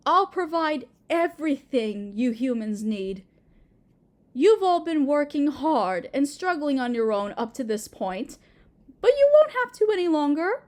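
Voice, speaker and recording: Casting: female actor for clingy, motherly planet spirit in roleplay audio, high sweet voice, slow and pleading, very expressive. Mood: soothing, possessive. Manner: tender, hesitant, pleading, sweetly overbearing. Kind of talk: roleplay audio drama, intimate monologue.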